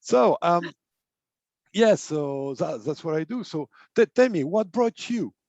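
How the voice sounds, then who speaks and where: Non-native male host, halting and filler-heavy, muffled and dead sound. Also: on the high side, animated, darkish tone, no echo. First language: not English. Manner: hesitant, many fillers and repeated words. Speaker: host, life and business coach. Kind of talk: casual interview chat.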